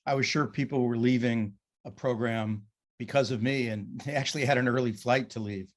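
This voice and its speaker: A man's voice: mid-range voice, fairly even intonation, brisk. A man in an online workshop, sharing over a video call in a wry, self-deprecating way. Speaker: workshop participant. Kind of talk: casual sharing in a group call.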